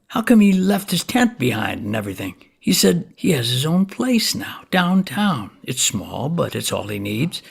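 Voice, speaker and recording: Animated, raspy neighbor telling tales. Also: male voice, high-pitched, full character voice. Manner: animated, conversational, acts out dialogue. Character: excitable, folksy neighbor turned amateur vampire hunter. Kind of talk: comic first-person storytelling monologue.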